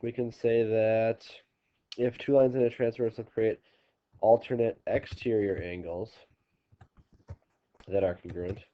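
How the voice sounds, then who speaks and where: Stuffy-nosed male teacher, lowish voice, halting explanations, dull home recording. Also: steady tone. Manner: halting, restarting explanations with many ums. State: congested, stuffy nose. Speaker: geometry teacher. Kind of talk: recorded classroom video lesson.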